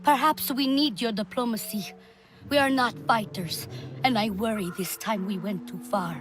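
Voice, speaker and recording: A woman acts out a proud, wary alien tribeswoman for a video game. Her voice is somewhat high, and she speaks in short, guarded phrases. There is faint ambient noise behind her. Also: moderate pace, natural voice. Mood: worried. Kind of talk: video game character acting.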